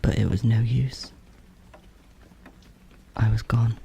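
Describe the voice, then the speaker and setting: An actor voicing a bitter, yearning ghost in a horror audio drama monologue, brooding and breathy, often close to a whisper. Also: medium pitch, little pitch movement, dark tone, a little hiss or hum. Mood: haunted, longing. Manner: slow, halting, brooding. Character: bitter, yearning ghost of a stillborn adult sibling.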